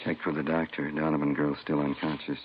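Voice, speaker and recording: A man's voice: rumbling low, flat delivery, brisk. A man playing a grave, terse policeman in an old radio drama, speaking in clipped phrases. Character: grave, terse male police colleague reporting news. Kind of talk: 1950s radio drama acting. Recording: muffled, noisy vintage radio recording.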